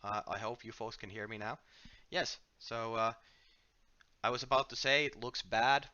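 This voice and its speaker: Man, Finnish accent, livestream host, bright forward voice, relaxed self-deprecating chat, slightly dull headset audio. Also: mid-range voice, normal speed. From Finland. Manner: relaxed, self-deprecating, frequent filler words and restarts. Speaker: livestream host from the game company. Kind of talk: casual livestream chat while playing and reading viewer questions.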